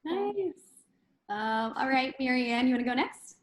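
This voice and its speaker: A woman's voice: high-pitched, lively intonation, a little slow. A woman hosting and moderating an online panel, recorded with a roomy, echoing sound. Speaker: panel host, community manager.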